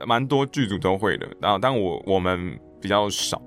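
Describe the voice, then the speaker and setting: A man chatting casually as an interview guest, explaining things through animated anecdotes and repeating words for emphasis. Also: low voice, normal speed, soft background music. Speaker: podcast guest, theatre director and actor.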